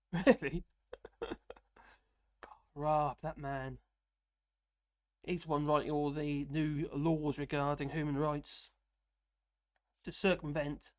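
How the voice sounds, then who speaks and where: A man's voice: fairly even intonation, takes it slowly, pauses often. Light-voiced British man chatting casually. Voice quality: fairly high voice for a man. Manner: rambling, self-deprecating, chuckling often. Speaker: live stream host. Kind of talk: casual live chat reading comments.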